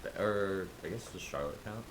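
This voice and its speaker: Male game streamer, extremely low voice, casual offhand asides, noisy game audio underneath. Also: normal speed. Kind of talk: casual live playthrough commentary. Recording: live stream with clear game noise.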